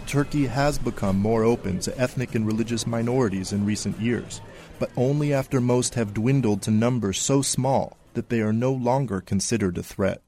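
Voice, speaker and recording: A male reporter reading a scripted radio news feature in a measured, even voice. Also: medium pitch.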